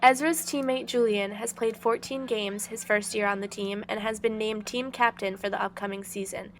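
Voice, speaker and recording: Female student reporter, scripted voice-over, noticeable vocal fry, faint noise underneath. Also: mid-range voice, fairly even intonation, moderate pace. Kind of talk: scripted news package narration.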